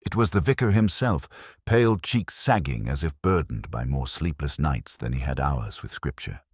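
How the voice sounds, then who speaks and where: A man narrating a mystery story in a dramatic, scripted style with a measured, literary delivery. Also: extremely low pitch, animated, breathy edge, no room sound, studio quality.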